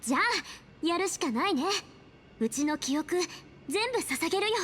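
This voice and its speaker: Bold mysterious young heroine, high voice, quick delivery, playful yet solemn, anime game. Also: female voice, animated, a little hiss or hum. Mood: solemn, dramatic. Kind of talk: Japanese anime game voice acting.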